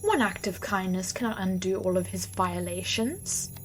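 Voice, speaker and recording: A woman's voice: mid-range voice, expressive, moderate pace, music in the background, lightly acted, low-quality recording. An actress playing a cold, unyielding female judge of the afterlife who demands justice. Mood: stern.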